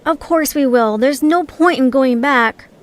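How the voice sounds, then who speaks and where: A high-voiced, pragmatic young female creature acted by a woman in an audio story. She is blunt, impatient and dryly sardonic. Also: unhurried. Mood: resigned, blunt. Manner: dry, sardonic.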